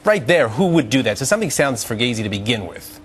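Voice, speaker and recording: A man's voice: mid-range voice, sing-song, quick pace, slight background noise. A man from the United States arguing as a legal panelist in a live TV debate, emphatic, colloquial and argumentative.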